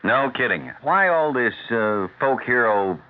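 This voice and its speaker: A man's voice: medium pitch, expressive, a little slow. A man interviewing casually as a radio reporter, with an informal, bantering way of talking. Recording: thin phone-like radio sound, faint noise.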